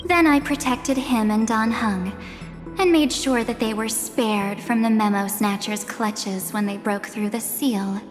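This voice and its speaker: Actress as poised mysterious guardian woman, unhurried storytelling, anime game, reverberant with music. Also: a little high, close to an everyday voice. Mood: solemn, knowing. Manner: measured, cryptic, poised. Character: enigmatic, composed woman guardian of memory and oblivion.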